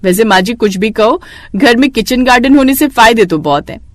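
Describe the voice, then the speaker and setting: A woman's voice: fairly high pitch, animated, a little fast, lightly acted. A woman voicing a scheming young daughter-in-law in a moral story, with a dramatic, complaining manner. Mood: sweetly flattering. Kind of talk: Hindi moral story voice acting.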